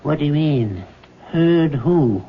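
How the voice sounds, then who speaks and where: An actor playing an authoritative, dismissive hospital doctor with a measured, clinical delivery in a 1970s radio drama. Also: male voice, on the high side, animated, husky, heavily acted. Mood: probing, dismissive. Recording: noisy, dull vintage radio recording.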